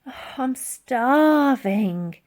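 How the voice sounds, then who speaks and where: Woman doing a plucky high-voiced little girl. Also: expressive, very slow, a little mellow, heavily acted. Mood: pleading.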